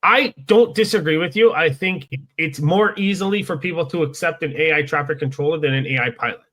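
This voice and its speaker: A man's voice: on the high side, brisk, fairly bright tone. A man co-hosting a podcast, chatting casually in an agreeable way as he offers and clarifies his views. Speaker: podcast co-host.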